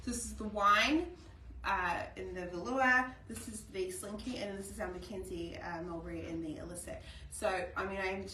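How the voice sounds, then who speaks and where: A woman's voice: mid-range voice, fairly even intonation, normal speed, sharp tone. Gushing Kiwi woman in an echoey studio. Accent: New Zealand. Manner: gushing and chatty, many ums. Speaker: fashion brand host. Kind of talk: live shopping stream. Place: fashion studio. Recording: echoey room, far from mic, noisy.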